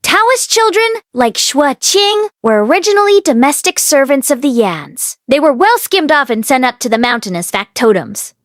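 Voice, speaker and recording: Female audiobook reader, higher-pitched voice, scripted novel narration, dry close sound. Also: sing-song, normal speed, few pauses, dry recording.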